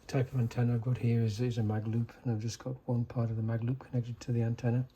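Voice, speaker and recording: A man giving a casual, unscripted technical demonstration in a halting, low-key way, like a restorer explaining equipment. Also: flat delivery, warm tone, slight background noise. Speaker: vintage radio restorer demonstrating a set.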